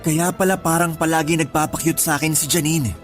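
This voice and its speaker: A man's voice: fairly high pitch, steady tone, a little fast, soft background music, close to an everyday voice. A man playing a sincere male schoolteacher sweet on his colleague, earnest and conversational in a radio drama. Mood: serious. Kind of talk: Tagalog radio drama acting.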